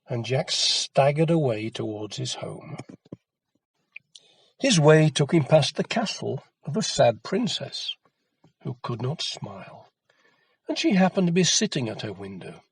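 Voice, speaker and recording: Slow, very animated male storyteller. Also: medium pitch, husky, no echo. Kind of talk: expressive children's folktale narration.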